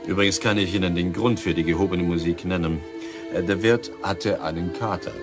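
An actor playing an old doctor in a radio crime play, dry, pedantic and bantering. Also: male voice, deep voice, fairly even intonation, a little fast, music in the background, close to an everyday voice. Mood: dryly explaining. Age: older. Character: self-pitying, teasing old doctor at a card table.

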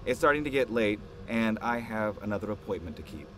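An actor playing an eager, practical male engineer in an audio drama, with a polite, animated manner. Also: on the low side, brisk, a little hiss or hum, lightly acted. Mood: polite, keen. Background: music.

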